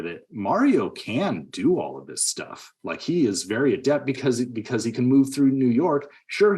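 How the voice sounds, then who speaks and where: Male, lower register, casual review-show co-host who acts out quotes while storytelling. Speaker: podcast co-host. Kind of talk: casual movie review chat.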